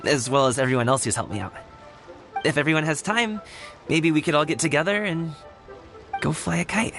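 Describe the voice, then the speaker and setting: A man's voice: fairly high pitch, brisk, over music, close to an everyday voice. An actor playing an earnest, bashful young man in a video game, a grateful son with a hesitant, boyish manner. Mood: sheepish, grateful.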